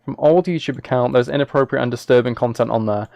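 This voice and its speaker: A man's voice: mid-range voice, quick pace, vocal fry, a little mellow, no room sound, muffled recording. A man live streaming, talking casually and a little hesitantly to his viewers as he reads chat and reacts.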